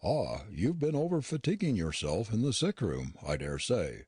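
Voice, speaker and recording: A curt, disdainful gentleman dentist, scornful and impatient, as a male narrator reads him. The voice sits low and husky. It rises and falls a great deal. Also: big pitch swings, moderate pace, no echo, clean studio sound.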